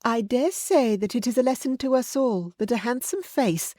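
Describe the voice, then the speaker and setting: A woman reading aloud voices a sensible young woman, measured and reflective. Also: fairly high pitch, lively intonation, warm tone, no room sound, studio quality, pitched above the performer's own voice.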